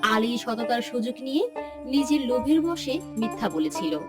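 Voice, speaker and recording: High, lively woman storyteller over loud music. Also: moderate pace. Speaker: narrator. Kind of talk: scripted moral story narration.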